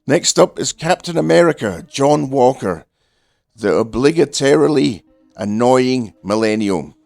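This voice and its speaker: Man, deadpan, sarcastic, nasal, froggy throat from a cold, middle pitch, soft music underneath. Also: unhurried, vocal fry, dry recording. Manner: deadpan, dry sarcastic delivery. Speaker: comedy film reviewer. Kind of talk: scripted satirical monologue.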